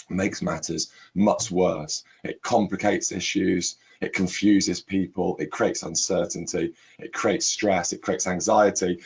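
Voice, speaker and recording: Hoarse, croaky man hosting a webinar. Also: deep voice. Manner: repeats words in lists, emphatic. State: hoarse with laryngitis. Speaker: webinar host, chief executive. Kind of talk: webinar presentation.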